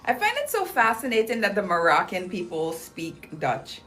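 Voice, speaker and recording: A woman's voice: medium pitch, wildly swooping pitch, normal speed, pushed and bright, soft background music. A young woman hosting a casual livestream, chatting in a bubbly, slangy way with lots of "like" and "um" as she reads viewer comments. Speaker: livestream host promoting clinic deals.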